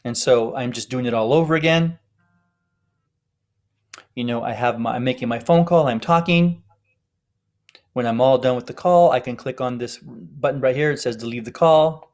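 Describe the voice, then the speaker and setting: A man's voice: mid-range voice, moderate pace, pauses often, a little mellow. A man giving an informal, step-by-step tutorial narration as an instructor. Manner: explanatory, step-by-step.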